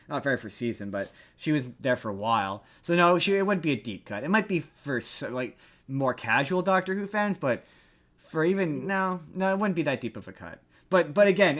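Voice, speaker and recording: A man's voice: mid-range voice, a bit bright. A man hosting a casual pop-culture discussion, talking in a rambling way and repeating words for emphasis. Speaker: podcast host.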